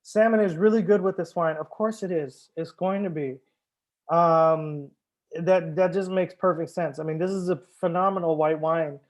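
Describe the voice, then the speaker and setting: A man's voice: a little high, fairly even intonation, normal speed. A man hosting a live wine tasting as a sommelier, chatting casually with viewers, joking and swearing now and then. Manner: chats with viewers, answers comments. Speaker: sommelier host.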